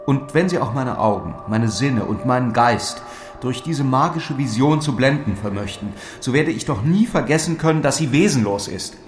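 An actor playing a sceptical, melancholy gentleman lord who objects formally in a literary audio drama. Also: mid-range voice, lively intonation, moderate pace, over music, lightly acted. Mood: doubtful, protesting. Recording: roomy echo, faint background noise.

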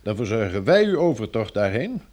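An actor in a Dutch-language radio play as a courteous, firm envoy of a pioneer community, speaking in a measured, formal, persuasive way. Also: male voice, fairly low pitch, very animated, darkish tone. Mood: firm, diplomatic.

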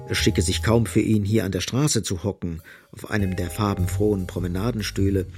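An actor playing the storyteller of a literary radio drama, narrating deliberately and expressively. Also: male voice, deep voice. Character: omniscient male storyteller of a literary novel. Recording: faint music behind the voice.

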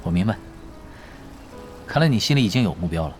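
A man portrays a young, easygoing, shrewd pro gamer in an audio drama. His medium-pitched voice rises and dips playfully as he teases, with music clearly audible behind him. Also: animated, a little fast, natural voice. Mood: calm, friendly. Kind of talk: Mandarin audio drama acting. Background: prominent background music.

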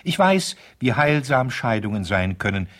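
An actor playing a vain, insistent divorce lawyer in a 1970s radio play, reading out formal letters with pompous, stilted diction and a husky voice. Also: male voice, medium pitch, big pitch swings, moderate pace, fairly bright tone. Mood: indignant, boastful.

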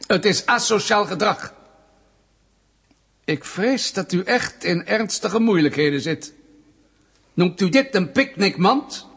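An actor playing a smug, menacing state official in a Dutch radio play, mock-polite, pedantic and insinuating. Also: male voice, sits high, lively intonation, slow, long pauses, fairly bright tone, slightly rough, exaggerated voice. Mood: smug, threatening.

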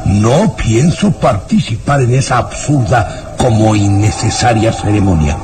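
A man's voice: fairly low pitch, expressive, unhurried, background noise. A man playing a scornful, arrogant baron with a theatrical, derisive manner in an old radio drama. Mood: haughty disbelief. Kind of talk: old Latin American radio drama acting.

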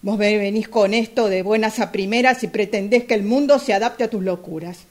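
A woman's voice: medium pitch, brisk, close to an everyday voice. A woman acting a blunt, mid-voiced Argentine sister. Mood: indignant, reproachful. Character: blunt, bossy married sister, mother of two. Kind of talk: amateur radio drama acting.

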